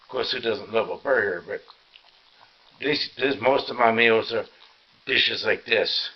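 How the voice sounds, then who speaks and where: A tired, sore man gives a rambling, halting, casual narration as he hosts a home vlog. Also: lowish voice, dull audio. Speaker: vlog host. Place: inside a house, walking through rooms.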